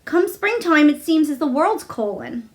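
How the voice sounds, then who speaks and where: A woman's voice: sits high, sing-song, measured pace, voice pushed up. A woman voicing a cheerful young Yorkshire country boy with eager, folksy dialect speech in a storybook reading. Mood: delighted.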